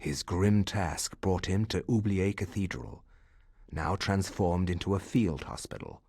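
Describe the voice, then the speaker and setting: A man's voice: sits low, animated, a little slow, creaky, a little mellow, husky, dry recording, clean studio sound, exaggerated voice. A man playing a grave narrator of wartime horrors in a video game, speaking in a solemn, formal and portentous manner. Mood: grave, ominous. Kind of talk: scripted dramatic game narration.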